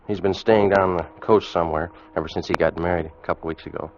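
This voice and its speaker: An actor playing a weary American first mate of a sailing schooner in an old radio drama. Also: male voice, sits low, fairly even intonation, fast, background noise. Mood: easygoing, wistful. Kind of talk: 1940s-50s radio drama acting, first-person narration and dialogue. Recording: muffled vintage radio recording, music behind.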